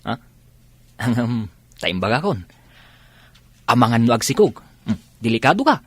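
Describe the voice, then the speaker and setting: A man's voice: mid-range voice, very animated, normal speed, slightly rough, no echo. A man playing a teasing, cautioning young buddy in an Ilocano radio drama.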